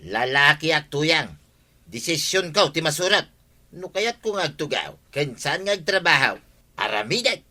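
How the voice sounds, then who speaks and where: Radio comedy casting: stubborn lazy husband, Ilocano accent, sharp forceful tone, blustering and whiny. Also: male voice, fairly high pitch, sing-song, measured pace, heavily acted. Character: stubborn idle husband demanding food, complaining about his wife.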